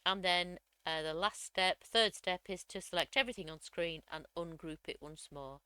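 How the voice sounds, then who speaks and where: A woman, slightly croaky from a cold, chattily walking through a crafting software tutorial step by step. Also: lowish voice, moderate pace, dry recording. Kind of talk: screen-recorded software tutorial.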